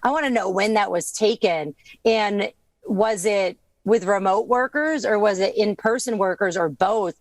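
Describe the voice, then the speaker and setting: A woman's voice: medium pitch, no echo. A woman hosting a podcast who is losing her voice, talking in a chatty, enthusiastic way with plenty of fillers. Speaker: podcast host. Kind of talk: casual podcast chat.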